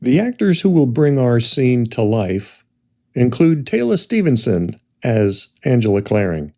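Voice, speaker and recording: Mellow male narrator setting up a drama. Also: mid-range voice, sing-song, measured pace. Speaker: host, writer-director. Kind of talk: scripted narration introducing a dramatic reading.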